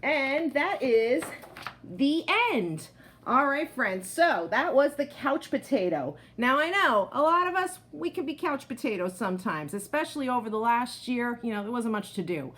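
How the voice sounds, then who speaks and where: A woman reading a picture book aloud to children in an expressive storytelling style, like a teacher, with friendly asides to young listeners. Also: fairly high pitch, moderate pace.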